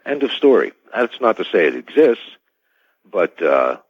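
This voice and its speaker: An American man speaking as an expert guest in a radio interview, with a halting, hedging delivery. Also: fairly low pitch, sing-song, breathy edge, no echo. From United States. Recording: dull band-limited radio line.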